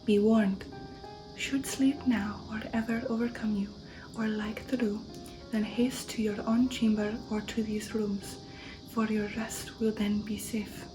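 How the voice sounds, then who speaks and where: A woman voicing a courteous, ominous male vampire count from a gothic novel, hushed, formal and deliberate. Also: medium pitch, little pitch movement, far from the mic, over music, natural voice, rough recording. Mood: grave warning.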